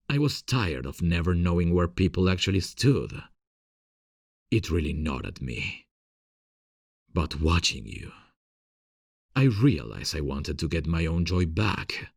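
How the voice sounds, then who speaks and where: A man playing a gentle, earnest theatre director in love, in an intimate ASMR romance roleplay, speaking in a tender, confiding, hesitant way with a husky voice. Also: very deep voice, dry recording, clean studio sound, exaggerated voice.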